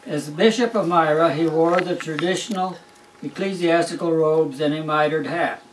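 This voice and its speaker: A man's voice: fairly high pitch, moderate pace, slight background noise. An older man, a grandfather, reads a Christmas story aloud at a family home in a wry, amused storytelling manner. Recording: roomy, distant home recording.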